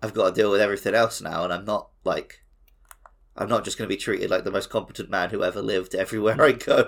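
A man's voice: fairly low pitch, fast talker, gravelly. A man chatting casually as co-host of a gaming podcast.

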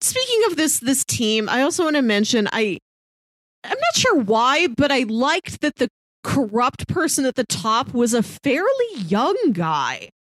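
A woman's voice: high voice, big pitch swings, unhurried, fairly bright tone, no room sound. A woman podcast co-host in a casual film discussion, chatty and musing aloud. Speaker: podcast co-host reviewing films.

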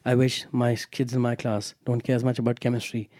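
Fast-talking man, emphatic. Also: mid-range voice, no echo, studio quality. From Pakistan. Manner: animated, emphatic, frank. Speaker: podcast guest, teacher. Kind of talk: casual podcast conversation. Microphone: headphones on, studio mic.

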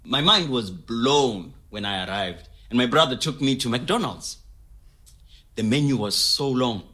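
A man's voice: mid-range voice, lively intonation, a little slow, bright, forceful tone. An actor playing an easygoing, wry man recalling his younger days in a conversational storytelling style. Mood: animated, wistful. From Zimbabwe. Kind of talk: scripted oral-history storytelling in conversational manner.

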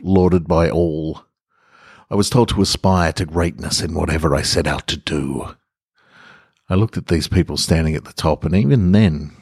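A man hosting a podcast, speaking in a measured, deliberate way with pauses between phrases. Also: sits low, darkish tone, breathy edge, dry recording, studio quality. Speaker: podcast host and reader. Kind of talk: scripted literary monologue narration.

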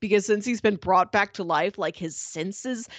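A woman chatting casually as a podcast co-host, telling stories in an animated, playful way. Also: mid-range voice, normal speed, no room sound. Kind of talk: casual chat.

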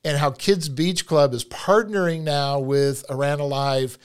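A man's voice: on the high side, fairly even intonation, measured pace, clear, resonant tone. A middle-aged man hosting an interview podcast from an office, upbeat and jokey. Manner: enthusiastic, jokey. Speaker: podcast host.

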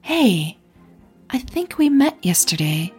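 A woman voicing a caring, concerned blonde woman with a warm, gentle manner in an audio drama. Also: fairly high pitch, very animated, slow, husky, soft background music, pitched above the performer's own voice, heavily acted.

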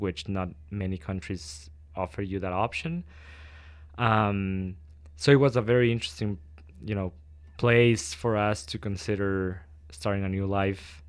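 Soft-spoken man, thoughtful and hesitant. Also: fairly low pitch, clean tone, no room sound. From Ecuador. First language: Spanish. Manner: hesitant, thoughtful, many ums and restarts. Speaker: guest, doctoral student. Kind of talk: interview answers.

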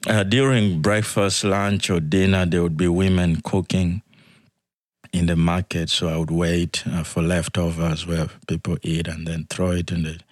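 Soft-spoken low-voiced man. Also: flat delivery, no room sound, studio quality. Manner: halting, soft-spoken, frequent restarts and light laughs. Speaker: interview guest. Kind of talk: casual interview, life story. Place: podcast studio.

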